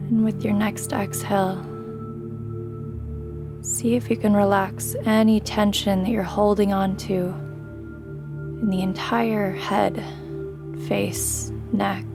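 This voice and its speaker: A woman guiding a sleep meditation in a gentle, soothing way, with long pauses between her phrases. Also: mid-range voice, little pitch movement, creaky, music in the background. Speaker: meditation guide. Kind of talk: guided sleep meditation, scripted narration.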